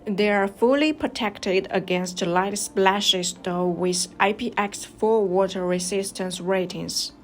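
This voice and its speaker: A woman's voice: mid-range voice, takes it slowly, few pauses. Woman narrating a product review over music.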